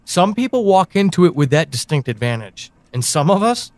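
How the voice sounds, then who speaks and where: An actor plays a brooding man who seems to know too much, in an intimate audio drama monologue. His voice sits a little high for a man and is recorded close and dry. He addresses one person directly, choosing each phrase with deliberate, halting pauses. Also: sing-song, no echo. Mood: somber, deliberate. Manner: deliberate, halting delivery with sighs and pointed pauses. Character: brooding, knowing man confiding in an amnesiac woman.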